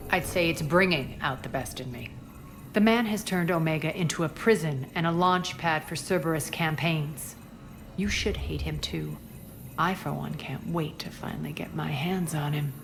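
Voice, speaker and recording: A woman's voice: low-pitched, normal speed, gravelly, noisy background. A woman playing a ruthless, haughty alien crime queen in a video game, speaking with cool deliberation. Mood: menacing confidence.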